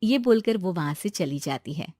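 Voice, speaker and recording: A woman's voice: lowish voice, lively intonation, normal speed, warm tone, no echo, clean studio sound. A woman narrating a scripted moral story as a storyteller.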